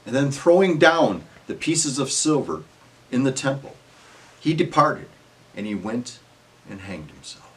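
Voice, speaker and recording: A man's voice: mid-range voice, animated, unhurried. A man giving a scripted dramatic scripture reading as narrator, in a solemn, emphatic storytelling manner.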